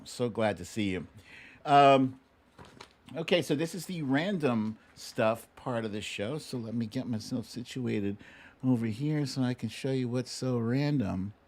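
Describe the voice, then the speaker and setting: A man's voice: mid-range voice, a little mellow. A middle-aged American man chatting casually and playfully with viewers as host of a livestream. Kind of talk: casual live chat with viewers. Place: home studio.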